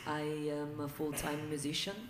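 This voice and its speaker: A woman from Brazil gives a brief, plain self-introduction into a handheld microphone. Her voice sits very low, and the classroom adds echo and background noise. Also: monotone, normal speed. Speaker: participant. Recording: echoey room, background noise.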